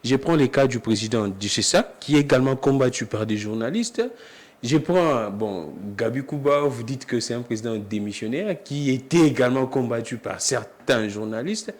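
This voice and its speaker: A man hosts a conversational political interview in a medium-pitched voice. He interjects often, echoing points and putting questions to his guest. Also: lively intonation, normal speed, a little mellow. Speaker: debate host, journalist.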